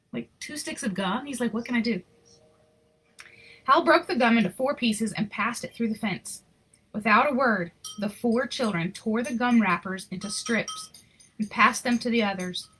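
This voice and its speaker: A woman reading a picture book aloud in an expressive storytelling style, with casual, informal asides. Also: on the high side, slightly rough, poor audio. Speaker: reader of picture books aloud.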